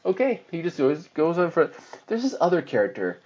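A man's voice: on the high side, fairly quick. A man co-hosting a film podcast, rambling casually through a movie recap. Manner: rambling, animated, slips into silly put-on voices for characters. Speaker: podcast co-host reviewing films. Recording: dull lo-fi podcast audio.

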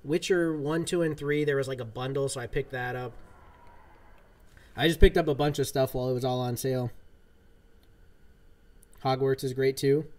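A man's voice: medium pitch, steady tone, moderate pace, long pauses, a little mellow. A man in his thirties chatting casually on a livestream from his home gaming room, rambling with lots of fillers. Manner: laid-back, rambling, many fillers. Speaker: livestream gamer. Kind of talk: casual livestream chat while playing.

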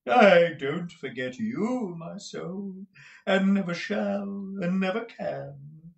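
A man voicing a foppish, wheedling, swearing husband from a period novel, with drawn-out, theatrical, excitable delivery. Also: high voice, gravelly, reverberant, pitched above the performer's own voice, full character voice. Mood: fawning, flirtatious. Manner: drawn-out, swooping, gushing delivery. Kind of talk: audiobook character voice from a period novel.

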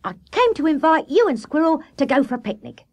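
Woman as a fussy, firm storybook water rat, raised pitch. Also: big pitch swings, brisk, a little mellow. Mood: friendly. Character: fussy, slightly gruff male water rat. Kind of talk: children's storybook character voice.

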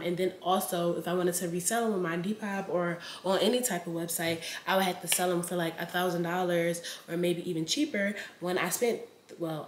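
A woman in her twenties talks straight into the camera at home, blunt and chatty with her viewers. Her voice sits a little low. The room sounds roomy, with a faint hum behind her. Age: 20s. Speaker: vlogger. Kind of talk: casual vlog chat to camera.

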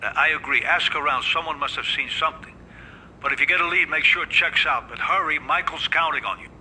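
An actor playing a calm middle-aged mafia adviser in a video game, measured and businesslike, with an American accent. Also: male voice, on the high side, slight background noise, poor audio. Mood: concerned. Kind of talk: dramatic video game acting.

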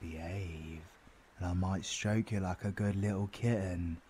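A man's voice: low voice, fairly even intonation, unhurried, mellow, slight background noise. A British man playing a bossy, cheeky supervillain in an audio roleplay, swearing and teasing in slangy banter. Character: cheeky, foul-mouthed, bossy male supervillain.

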